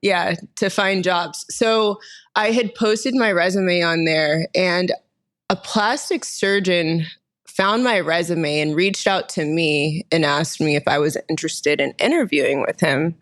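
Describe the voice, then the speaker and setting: A woman in her thirties with a slightly low voice. She talks as a guest in a casual interview, telling personal stories in a chatty way. She is from Texas and laughs easily. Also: measured pace, a bit bright. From Austin, Texas, United States. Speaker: podcast guest, nurse injector.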